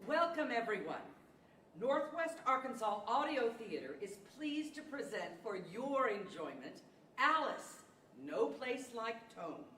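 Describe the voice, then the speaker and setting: High, lively woman announcer in echoey hall. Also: distant mic. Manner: theatrical, deliberate. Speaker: announcer of a live audio theater show. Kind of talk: scripted 1940s-style radio announcing. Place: large indoor hall. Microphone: microphone on a stand. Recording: echoey hall, picked up from afar.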